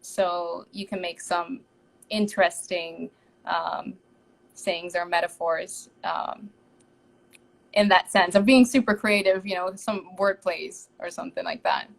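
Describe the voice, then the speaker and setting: A woman's voice: lowish voice, fairly even intonation, slow pace, gravelly, low-quality recording. A young woman from the Netherlands with a Dutch accent, a singer chatting casually as a guest in a live-streamed interview, laughing lightly between thoughts.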